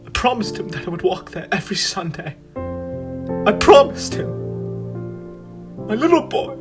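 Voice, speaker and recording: A young man acting a grief-stricken father on a school stage, halting and choked up. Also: sits high, very animated. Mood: grieving. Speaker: stage actor. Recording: loud guitar music behind, mic far off.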